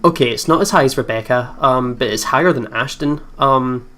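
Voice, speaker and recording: Let's player for a visual novel: narrates the story, chats casually, soft guitar music behind. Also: medium pitch, moderate pace. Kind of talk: reading game narration with casual commentary. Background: soft guitar game music.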